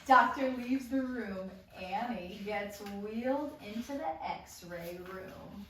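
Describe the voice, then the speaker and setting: A woman's voice: mid-range voice, slow pace, fairly bright tone. A woman narrating stage directions at an amateur table read. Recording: very echoey room, distant mic, faint noise.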